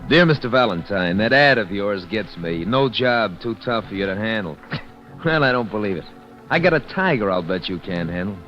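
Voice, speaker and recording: Challenging letter writer, muffled sound. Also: male voice, fairly low pitch, lively intonation, normal speed, a bit bright. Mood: challenging, earnest. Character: worried working family man writing a challenging letter. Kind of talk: 1940s radio drama letter reading. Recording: dull vintage radio recording, music behind.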